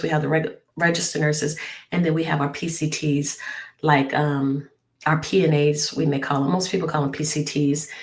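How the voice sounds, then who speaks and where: A hoarse woman, a travel nurse, telling a story in a rambling vlog, with many ums and restarts. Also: deep voice. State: hoarse from a lingering flu cough. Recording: echoey room.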